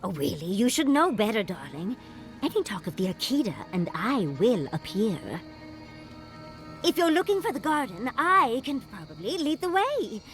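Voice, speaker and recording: Tiny chatty know-it-all scholar in a video game, high voice, super lively, theatrical. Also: female voice, moderate pace, faint music behind. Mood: breezy, eager. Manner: theatrical, breezy. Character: tiny, eager, chatty female scholar, self-assured. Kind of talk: scripted video game dialogue.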